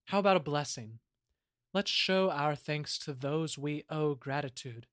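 A man's voice: a little high, measured pace, a bit bright, no room sound. A man acts out an earnest male historian in an audio play. He declaims formally and with self-importance, in a raised voice with a very dry, close sound. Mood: earnest, grandiose.

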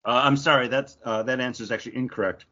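A man's voice: medium pitch, fairly even intonation, fast talker, bright, forceful tone, highs cut off. A man co-hosting a podcast, chatting casually as he reasons out quiz answers. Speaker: podcast co-host, quiz contestant.

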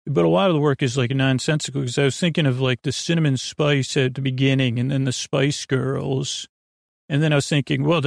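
A man's voice: mid-range voice, vocal fry, darkish tone, no echo, clean studio sound. A man hosting a bedtime podcast, talking in a meandering, hesitant, soothing way that keeps drifting into digressions. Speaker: podcast host. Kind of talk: rambling bedtime story podcast monologue.

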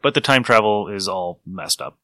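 Bright-voiced man explaining with geeky enthusiasm. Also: lowish voice, normal speed, no echo, studio quality. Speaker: podcast co-host. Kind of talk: casual review chat about old radio episodes.

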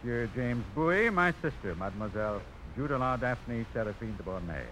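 A man acting a haughty young dandy in an old radio drama. His voice is bright and highly animated, pompous and courtly, over faint broadcast hiss. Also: a little fast, natural voice, rough recording. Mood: suave. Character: haughty, hot-tempered young New Orleans gentleman, suavely teasing.

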